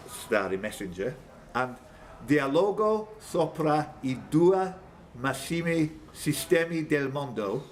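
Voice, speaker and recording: A man's voice: medium pitch, a little hiss or hum. A man working as a quizmaster, reading questions live with informal teasing banter and stumbling self-corrections. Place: indoors at a pub quiz tournament.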